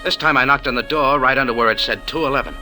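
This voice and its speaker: A man's voice: medium pitch, quick pace, lightly acted. An American man playing a cool, wry private investigator, narrating his case in dry, clipped 1940s radio style. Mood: matter-of-fact. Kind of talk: 1940s radio acting, first-person hardboiled narration. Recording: old radio recording with music behind.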